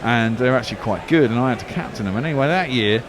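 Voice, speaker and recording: A man's voice: mid-range voice, fast. A man giving casual ball-by-ball radio commentary on cricket, rambling into anecdotes between deliveries. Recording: background noise.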